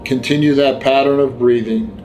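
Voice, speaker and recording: A man narrating a guided meditation, giving gentle, unhurried instructions with long pauses. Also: medium pitch, steady tone, low-quality recording. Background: background music.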